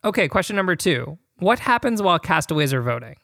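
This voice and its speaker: A man's voice: high-pitched, very animated, quick pace, creaky, pushed and bright, dry recording, studio quality. An American man co-hosting a podcast, talking and reading listener questions aloud.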